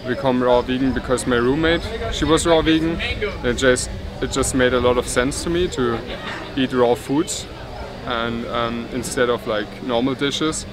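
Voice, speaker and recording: A man's voice: medium pitch, fairly even intonation, normal speed, rough recording. A man in his twenties with a German accent, speaking casually in an interview. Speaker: festival attendee interviewee. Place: outdoors beside a wooden cabin. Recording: outdoor recording with crowd chatter.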